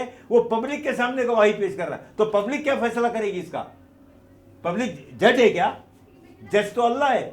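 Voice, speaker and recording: A man with a Mumbai Hindi accent making an emphatic explanatory religious argument, posing rhetorical questions and repeating his points. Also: sits high. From India. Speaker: activist and petitioner giving a speech. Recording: echoey, roomy sound.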